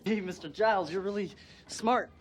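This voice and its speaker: A man's voice: high voice, moderate pace. An actor playing a creepy, secretive young stage magician in a TV drama, coaxing his listener. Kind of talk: TV drama dialogue.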